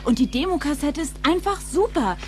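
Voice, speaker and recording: A woman playing a supportive, encouraging teenage girlfriend in a German radio play, with an expressive, coaxing delivery. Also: sits high, normal speed, faint music behind.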